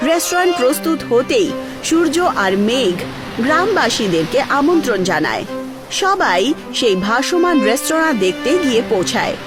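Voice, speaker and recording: A woman's voice: sits high, very animated, normal speed. A woman narrating a scripted cartoon story as its storyteller. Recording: music behind the voice.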